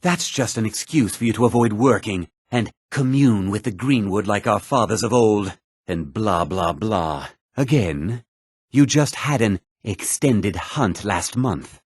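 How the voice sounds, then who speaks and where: Haughty, husky fantasy townsman, animated. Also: on the low side, unhurried, no room sound, clean studio sound. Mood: irritated, haughty. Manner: smug, theatrical, dryly condescending. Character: haughty, self-important male townsman and merchant of a fantasy world. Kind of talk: video game character dialogue.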